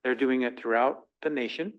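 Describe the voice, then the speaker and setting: A man of middle pitch introduces a visiting team at a council meeting, halting and full of ums as he searches for words. The audio is muffled and lo-fi. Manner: hesitant, many ums and restarts. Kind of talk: formal presentation at a council meeting.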